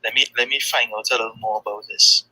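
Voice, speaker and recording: A man with a Trinidadian accent speaks in a very bright, forward tone. He talks haltingly and fills his pauses with ums while he searches for words. His pitch sits at an ordinary middle height. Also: steady tone, low-quality recording. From Trinidad and Tobago. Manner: hesitant, halting, with many fillers. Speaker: guest, freelance graphic designer. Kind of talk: casual conversation.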